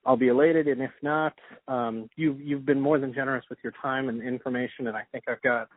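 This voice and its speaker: A tinny, phone-like recording of a man in a casual interview. His pitch sits in the middle, and he is very polite, tripping over repeated words as he chats. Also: fairly even intonation, a little fast, dry recording. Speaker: interviewer and podcast host. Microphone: phone or call line. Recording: tinny, dry phone-like line.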